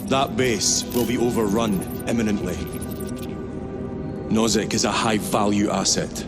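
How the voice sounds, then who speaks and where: An actor playing a stern, authoritative military captain in a video game, giving terse, commanding briefings. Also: male voice, on the low side, steady tone, unhurried, loud music behind the voice, close to an everyday voice. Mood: stern, grim. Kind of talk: cinematic video game acting.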